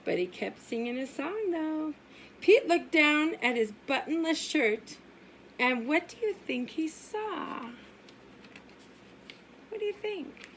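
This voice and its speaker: A woman preschool teacher reading a story aloud for young children in a sing-song, exaggerated way, asking questions and pausing. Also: sits high, sing-song, a little hiss or hum. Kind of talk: animated children's story time read-aloud.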